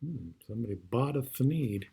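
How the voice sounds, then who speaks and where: A man's voice: mid-range voice, animated, moderate pace, background noise. A man reading a rhyming children's story aloud with theatrical, rhythmic phrasing and brief asides. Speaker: storybook reader.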